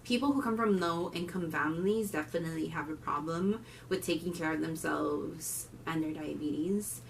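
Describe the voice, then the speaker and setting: Woman, 20s, Miami accent, low voice, casual vlogger, slight room noise. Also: moderate pace, vocal fry, recorded from a distance, low-quality recording. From Miami, Florida. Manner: chatty, candid, swings into loud bursts. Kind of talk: casual talk to camera.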